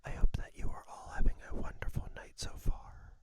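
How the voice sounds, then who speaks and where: A person whispering a guided meditation and body scan for ASMR, soft and breathy, with gentle, soothing instructions. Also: fairly quick. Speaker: ASMR host guiding a meditation.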